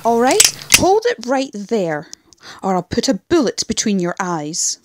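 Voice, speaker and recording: A woman's voice: a little high, sing-song, a little slow, dry recording. A woman playing a smug, threatening gang leader in an audio drama, sardonic and menacing with a drawl.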